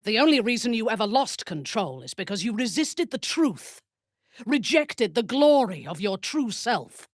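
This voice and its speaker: An actress playing a haughty, menacing female drow warrior cleric in a video game, speaking in an imperious, measured, cutting way. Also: fairly high pitch, sing-song, no room sound, studio quality. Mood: calculating. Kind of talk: video game character voice lines.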